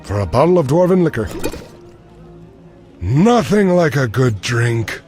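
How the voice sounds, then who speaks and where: A sly, shady undertaker over music. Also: male voice, mid-range voice, very animated, measured pace, a little mellow. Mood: bargaining. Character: shady, morbid male undertaker, mercenary and fond of drink. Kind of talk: video game voice acting.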